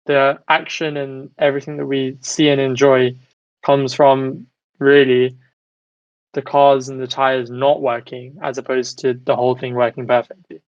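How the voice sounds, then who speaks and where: A man's voice: medium pitch, flat delivery, unhurried, dry recording, muffled recording. A man hosting a casual sports discussion, giving opinions and putting questions to the others in an argumentative way. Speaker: podcast host steering discussion.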